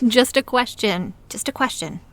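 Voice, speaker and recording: A woman's voice: on the high side, animated, measured pace, a bit bright, no echo. An actress playing an independent, teasing woman with a casual lover in an audio drama, dry and frank.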